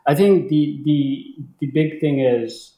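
A man's voice: a little high, slow pace, mellow. Echoey young man from Bangladesh, conversational. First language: Bengali. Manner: hesitant, often repeating words. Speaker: interview guest. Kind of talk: casual conversational storytelling. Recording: echoey, roomy sound.

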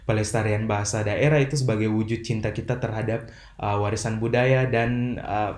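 A young man, a podcast guest, explaining in measured, formal phrasing with frequent fillers. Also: fairly low pitch, steady tone, darkish tone. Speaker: podcast guest, language ambassador. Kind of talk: earnest motivational explaining. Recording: roomy echo.

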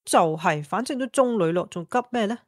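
A woman's voice: medium pitch, animated, fairly quick, no room sound, clean studio sound, natural voice. An actress playing a sassy, independent single woman in her thirties in an audio drama, teasing and sarcastic. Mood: sarcastic, carefree. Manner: playful, sarcastic retorts. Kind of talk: Cantonese audio drama acting.

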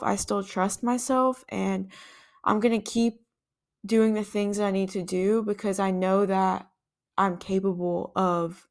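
A woman's voice: mid-range voice, gravelly. A woman hosting a podcast on her own, talking in an earnest, reflective way and thinking aloud with some restarts. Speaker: podcast host. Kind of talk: reflective solo podcast talk.

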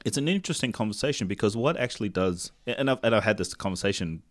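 A man with a deep, low voice who grew up in Tonga speaking Tongan first. He hosts a podcast in a casual, conversational way, circling back to rephrase his points and checking that the listener follows. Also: lively intonation, quick pace. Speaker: podcast host.

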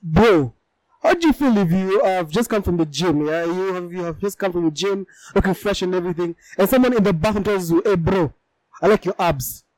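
A man's voice: high-pitched, brisk, no room sound, low-quality recording. Playful man on a podcast. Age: 20s. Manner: playful, jokey, repeats words when excited. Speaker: podcast co-host. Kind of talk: casual podcast chat. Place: podcast studio.

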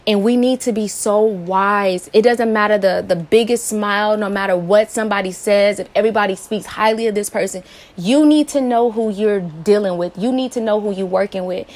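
A woman's voice: mid-range voice, normal speed, a little mellow. A woman in her late twenties hosting a personal advice podcast, talking earnestly and encouragingly, often asking her listeners rhetorical questions. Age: late 20s. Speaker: podcast host.

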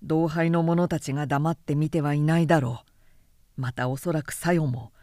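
A woman voicing a proud, brooding young samurai elder brother, speaking gravely and resolutely in old-fashioned style, in a story reading. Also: low voice, fairly even intonation, normal speed, mellow, no echo, studio quality, voice pushed down, natural voice.

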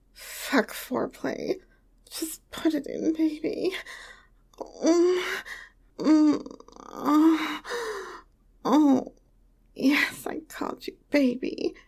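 A woman playing a tall, teasing, affectionate young lover in an intimate audio roleplay, talking in a soft, breathy whisper. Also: sits high, full character voice. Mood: whispery tender.

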